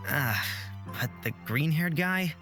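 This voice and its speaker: A polite young fantasy traveler, played by a woman, speaking gently and earnestly with small hesitations. Faint game music plays behind the voice. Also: low-pitched, lively intonation, takes it slowly, voice pushed down, exaggerated voice. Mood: sincere.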